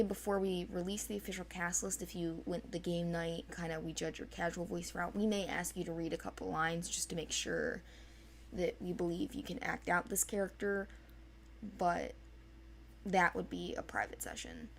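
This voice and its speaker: A woman's voice: lowish voice, normal speed, creaky, slight background noise. A woman giving an informal, unscripted explanation in a hesitant, rambling way, as a casting organizer.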